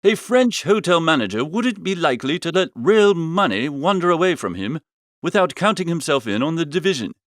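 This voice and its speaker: Lively, high-pitched male comic narrator. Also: normal speed, no room sound, studio quality. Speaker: audiobook narrator. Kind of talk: comic first-person scripted narration.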